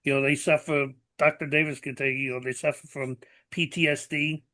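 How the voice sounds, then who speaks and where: Bright-voiced older man hosting a podcast. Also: fairly high pitch, flat delivery, fairly quick. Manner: earnest, plainspoken, uses fillers like "you know" and "like". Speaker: podcast host. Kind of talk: conversational interview.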